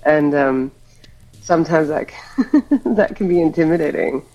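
A woman's voice: deep voice, a little slow, mellow, dull audio. A woman from Hawaii, a musician guest in a casual interview, talking reflectively and rambling with fillers.

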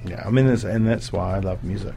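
Low-voiced male interviewer, rambling. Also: fast talker, mellow, soft background music. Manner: rambling, frequent agreeing fillers. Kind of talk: conversational interview.

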